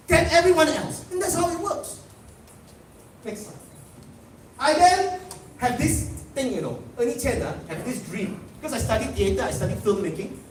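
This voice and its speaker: A man with a Malaysian English accent giving an animated, boastful motivational talk on stage at a convention. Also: very high-pitched, recorded from a distance, a little hiss or hum. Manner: boastful, animated storytelling with laughs. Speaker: motivational speaker. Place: large convention hall. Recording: echoey hall picked up from afar.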